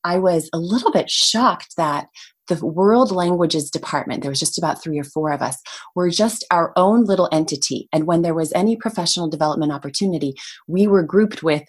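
A woman whose first language is Hungarian speaks as a podcast guest, explaining her work in language education. Her voice sits a little low for a woman, and she talks with enthusiasm and clear, well-organized phrasing. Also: a little fast. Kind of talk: conversational podcast interview.

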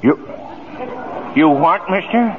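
An actor playing a flustered, suspicious bridge keeper in a 1940s radio drama, husky, stammering and incredulous. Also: male voice, fairly high pitch, animated, slow pace, a little hiss or hum. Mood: baffled. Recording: tinny 1940s radio recording.

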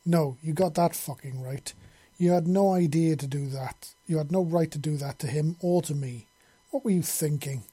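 Guy doing a furious embarrassed mum scientist for a story, swears loads, bit husky. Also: a little high, fairly quick, hardly any pauses, warm tone. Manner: snarling, sweary, exclamatory. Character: furious, flustered, embarrassed woman scientist, foul-mouthed mother.

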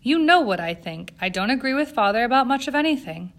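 A woman's voice: a little high, lively intonation, fast, fairly bright tone, pitched above the performer's own voice. A woman voicing a privileged young socialite in an audiobook, with an airy, self-assured, impatient manner. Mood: imperious, impatient. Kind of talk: audiobook character acting.

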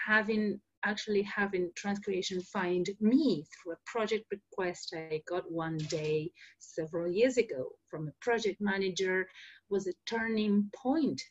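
A woman's voice: medium pitch, slightly rough, dull audio. Spanish-native woman hosting a podcast. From Argentina. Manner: earnest, explanatory, with fillers and restarts. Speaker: podcast host. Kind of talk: solo podcast monologue.

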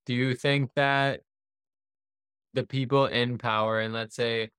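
A man's voice: medium pitch, fairly even intonation, takes it slowly, fairly bright tone, clean tone, no room sound. Male podcast host, clean resonant tone, dry recording, loose conversational delivery with meandering, roundabout questions. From born in the US, raised in Pakistan. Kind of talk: casual conversational interviewing.

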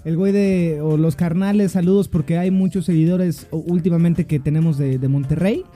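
Young chilango guy podcasting, slangy and joking, kinda tipsy, highish but super mellow voice. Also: fairly even intonation, moderate pace, faint music behind. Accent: Mexico City Spanish. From Mexico. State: a bit tipsy. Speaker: podcast host. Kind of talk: casual podcast chat.

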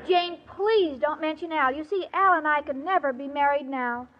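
An actress plays a sweet, dim-witted young American woman with an extremely high, childlike voice. She is plaintive and self-pitying, gullible in every line. It sounds like a muffled 1940s radio comedy. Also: normal speed, some chatter behind, natural voice. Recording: muffled old radio recording.

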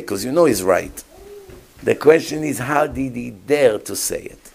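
Emphatic man preaching. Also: on the high side. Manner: emphatic, rhetorical questions to the audience. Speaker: rabbi giving a talk. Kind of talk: sermon.

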